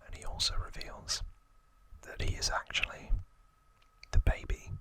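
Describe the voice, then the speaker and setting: A man speaks in a breathy ASMR whisper with a very bright, crisp edge. He explains things slowly and deliberately, leaving pauses between short hushed phrases, as a host guiding the listener along. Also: no room sound, poor audio. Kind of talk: whispered ASMR explainer, scripted-feeling trivia retelling with asides.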